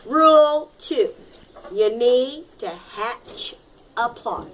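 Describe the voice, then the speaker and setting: Animated high-voiced woman teacher reading aloud. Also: very slow, heavy background noise. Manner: didactic, dramatic emphasis. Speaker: elementary school reading teacher. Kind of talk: expressive storybook read-aloud with questions to pupils.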